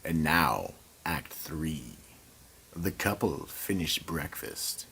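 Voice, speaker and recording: A man narrating a radio drama in a slow, grave announcing manner. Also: very deep voice, lively intonation, vocal fry, sharp tone, slight background noise, full character voice.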